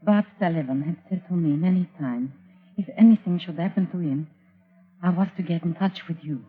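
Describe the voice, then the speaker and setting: Mysterious French café woman, played by an actress, earnest, measured, vintage radio play, narrow tinny sound. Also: lowish voice, lightly acted. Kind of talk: 1940s radio acting. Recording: tinny old radio recording, faint music.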